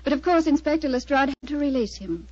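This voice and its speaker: A young, proud society widow in a vintage radio drama. Her voice sits fairly high, with a poised, imperious and theatrical delivery. The old broadcast recording is muffled. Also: animated, fast talker, a little mellow, no room sound. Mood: composed. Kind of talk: 1940s radio acting.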